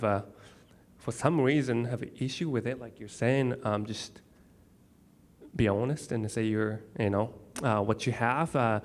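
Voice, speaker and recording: A man from Japan, a pilot with a Japanese accent, answering on a panel in a hesitant way with many pauses and restarts. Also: medium pitch.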